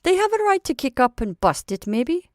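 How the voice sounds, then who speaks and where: A woman's voice: sits high, very animated, no room sound, clean studio sound, pitched above the performer's own voice. Woman doing a placid old housewife. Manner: broken dialect grammar, drawn-out innocent questions. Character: placid older immigrant housewife, sly and unbothered.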